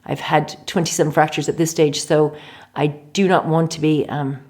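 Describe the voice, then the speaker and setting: A woman in her forties from Canada, a physiotherapist guest telling stories in a chatty, animated way in a casual interview. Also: low-pitched, moderate pace. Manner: chatty, animated storytelling with laughs.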